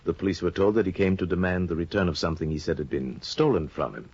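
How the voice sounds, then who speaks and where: An actor playing a sly, insinuating middle-aged judge in a 1950 radio drama, probing and quietly menacing. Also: male voice, low-pitched, fast, husky. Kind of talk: 1950s radio drama acting. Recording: dull lo-fi 1950 radio broadcast.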